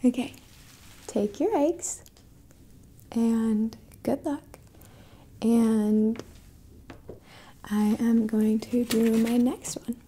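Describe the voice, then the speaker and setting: A young woman hosting an ASMR roleplay, speaking gently and softly to the listener in a hushed voice that slips into whispers. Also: on the high side, steady tone, very slow, pauses often, a little hiss or hum. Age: young adult. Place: small dim indoor room at a table.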